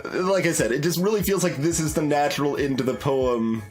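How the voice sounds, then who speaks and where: Male game streamer, lighter higher voice, hesitant analytical commentary over music. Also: a little fast. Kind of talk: casual playthrough commentary, thinking aloud. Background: background game music.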